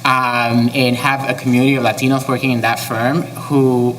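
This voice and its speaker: A man's voice: mid-range voice, flat delivery, moderate pace, fairly bright tone, a little hiss or hum, rough recording. A man raised in the Bronx, speaking easily as a panelist with frequent ums. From Bronx, New York. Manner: easygoing, many ums. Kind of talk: panel discussion. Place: event hall, live panel.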